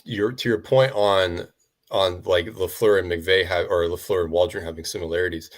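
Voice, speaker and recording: A man with a very deep voice co-hosts a football podcast. He asks the guest loose, rambling questions and restarts his sentences often as he feels his way through them. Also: steady tone, normal speed. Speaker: podcast co-host. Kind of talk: casual podcast chat about football.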